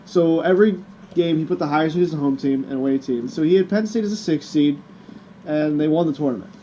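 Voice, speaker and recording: A young man co-hosting a casual chat show, relaxed and laughing along. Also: a little high, fast, slight background noise, rough recording. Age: young adult. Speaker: co-host.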